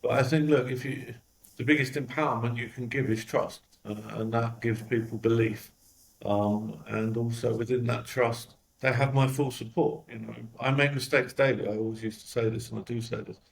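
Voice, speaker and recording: A man's voice: mid-range voice, steady tone, normal speed, vocal fry. A man with a London accent, a company CEO answering interview questions in a candid, reflective way with frequent fillers. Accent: London English. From London, England. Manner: reflective, with frequent "um" and "you know".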